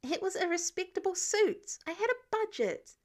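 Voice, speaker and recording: Woman doing a cheeky, animated teenage boy. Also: extremely high pitch, pitched above the performer's own voice. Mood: sarcastic, defensive. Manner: sassy, indignant, quick to retort.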